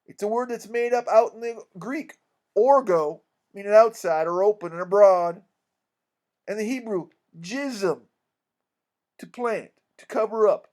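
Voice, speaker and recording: Man voicing a pompous, high-pitched old-time con man. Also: a little slow, darkish tone. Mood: pompous, explaining. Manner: long-winded, self-important, folksy misused learned words. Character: pompous, wheedling con man posing as an English gentleman.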